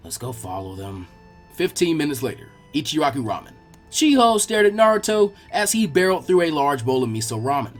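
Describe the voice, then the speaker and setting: A man narrating fan fiction in an expressive, animated storytelling style. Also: on the high side, fairly quick, faint music behind.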